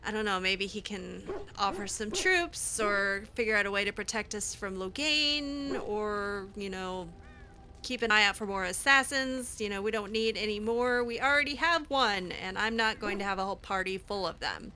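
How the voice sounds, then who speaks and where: A woman's voice: mid-range voice, expressive, normal speed, sharp tone, slight background noise. A woman hosting a game playthrough, chatting casually in character with a teasing, sarcastic manner. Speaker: gaming video host, roleplaying as player character. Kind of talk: casual in-character roleplay commentary.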